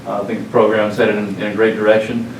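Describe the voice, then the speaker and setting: A man from Southern California, a football offensive coordinator, introducing himself in a relaxed, friendly way. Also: lowish voice, quick pace. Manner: relaxed, friendly, loose chat. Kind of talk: self-introduction to a room. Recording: roomy, distant, noisy room recording.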